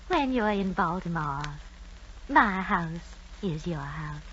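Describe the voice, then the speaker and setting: An actress in an old-time radio drama playing a genteel, slyly sweet murder suspect with a coaxing, composed manner. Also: female voice, lowish voice, lively intonation, slow pace, a bit bright, husky, full character voice. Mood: soothing, sweet. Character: genteel, slyly sweet Southern-era lady poisoning suspect. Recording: dull vintage radio recording.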